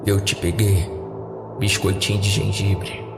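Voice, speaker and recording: A man voicing a gentle firefighter father in a horror audiodrama, calmly and tenderly reassuring his child. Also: low-pitched, measured pace, vocal fry, over music, voice pushed down. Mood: tender reassurance. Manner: soft, tender, calm.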